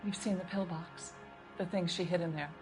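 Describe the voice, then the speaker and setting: A woman's voice: fairly low pitch, fairly even intonation, moderate pace, a little mellow, soft background music, natural voice. A woman playing a nervous housekeeper questioned by police in a video game, talking hesitantly and stammering. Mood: confiding. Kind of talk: video game interrogation scene.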